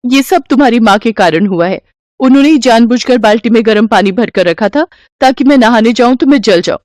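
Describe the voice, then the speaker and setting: A woman's voice: on the high side, lively intonation, a little fast, darkish tone, no room sound, clean studio sound, pitched above the performer's own voice, natural voice. A woman voicing a spiteful, bossy daughter-in-law who complains sharply in an audio story. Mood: outraged, accusing. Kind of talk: Hindi audio story dialogue.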